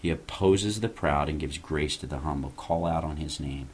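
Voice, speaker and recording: A man's voice: a bass voice, normal speed, slight background noise. A man hosting a show, preaching an earnest evangelistic message and urging listeners to turn to God. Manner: earnest, exhorting, rising on key phrases. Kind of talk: evangelistic sermon.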